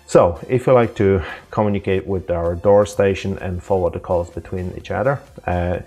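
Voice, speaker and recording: Husky, very deep-voiced man presenting a tutorial. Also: steady tone, mellow, soft background music. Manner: informal, halting, step-by-step explaining. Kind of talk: unscripted software walkthrough.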